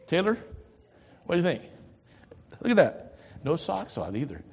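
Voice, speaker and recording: An older man preaches with a husky, somewhat high voice that rises and falls in wide, animated swings. His delivery moves between loud emphatic lines and quiet asides. The sanctuary rings with a long reverb. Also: normal speed. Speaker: pastor. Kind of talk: sermon.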